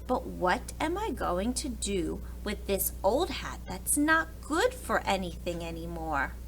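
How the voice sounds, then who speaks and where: A woman acts out a soft-hearted old storybook uncle who grumbles and frets. The delivery is emphatic and bright, swinging widely in pitch. There is faint noise on the recording. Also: sing-song, measured pace, creaky, full character voice. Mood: fretful, dithering. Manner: exclamatory, emphatic. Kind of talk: storybook character voice.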